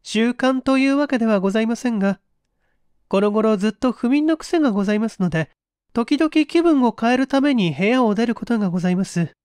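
A cold, well-bred married lady in a mystery story, played by a male narrator. Her speech is formal, guarded and unfeeling, with a smooth tone and a dry, close sound. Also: extremely high pitch, a little fast, a little mellow, no room sound, pitched above the performer's own voice. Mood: cool, guarded. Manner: cool, formal, guarded, few words. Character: cold, reserved upper-class wife, guarded and expressionless.

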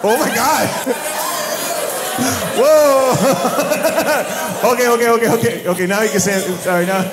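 A man's voice: very high voice, measured pace, loud music behind the voice. An American man doing stand-up crowd work on stage, riffing loosely with lots of profanity, laughter and restarts. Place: comedy club stage. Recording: live club recording with noisy background.

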